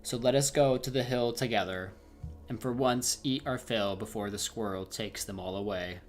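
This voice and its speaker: A man's voice: on the low side, normal speed, faint music behind, natural voice. A man reading as a proud stubborn rooster. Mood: indignant.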